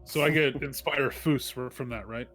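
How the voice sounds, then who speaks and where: A man's voice: mid-range voice, normal speed. A man chatting casually as a tabletop game player, in a tentative, questioning way. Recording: music playing behind the voice.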